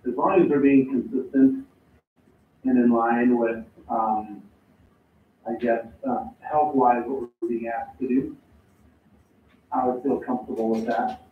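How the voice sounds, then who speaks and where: A man's voice: mid-range voice, fairly even intonation, a little slow, pauses often, a little hiss or hum. A man on a school board giving his view hesitantly, with many fillers. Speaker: board member. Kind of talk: meeting discussion. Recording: echoey, distant, tinny audio.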